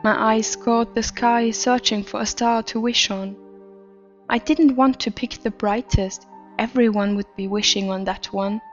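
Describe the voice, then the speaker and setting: A woman playing a troubled adult narrator confessing a twisted, attention-starved childhood in a deliberate horror story reading. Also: a little high, fairly even intonation, muffled recording, close to an everyday voice. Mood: wistful, ominous. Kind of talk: scripted first-person horror story narration. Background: background music.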